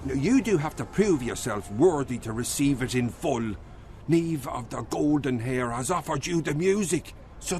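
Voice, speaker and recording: A man's voice: a little high, a little mellow. A man playing an earnest, fatherly mentor in a radio drama, giving stern but kind advice.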